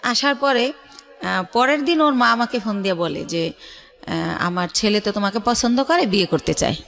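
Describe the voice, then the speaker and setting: A woman's voice: medium pitch, animated, moderate pace, gravelly, fairly bright tone, soft background music. A middle-aged woman with a colloquial Bangladeshi accent tells a personal story in a radio interview, conversationally, voicing reported dialogue as she goes. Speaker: guest telling her life story.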